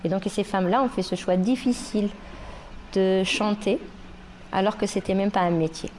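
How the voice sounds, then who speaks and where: A woman from Morocco, a singer and rapper, speaking earnestly in a documentary interview, pausing often with fillers. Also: mid-range voice, a little mellow, a little hiss or hum.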